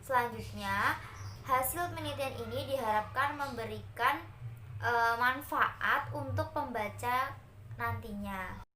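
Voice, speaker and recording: A woman's voice: fairly high pitch, slow, sharp tone, echoey room, slight background noise, low-quality recording. A young woman from Central Java giving a class presentation, explaining step by step to her classmates with frequent hesitation fillers. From Central Java, Indonesia. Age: young adult. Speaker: university student presenter.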